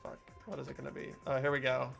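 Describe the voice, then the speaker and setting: A woman's voice: very deep voice, monotone, quick pace, gravelly, faint music behind. A woman chatting casually with friends during a game.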